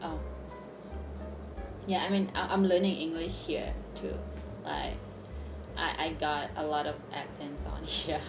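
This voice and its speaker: Low-voiced woman, echoey room. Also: unhurried, long pauses, music in the background. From Thailand. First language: Thai. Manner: hesitant, many fillers, giggly. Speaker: channel host chatting with strangers. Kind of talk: casual video chat. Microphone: online video call.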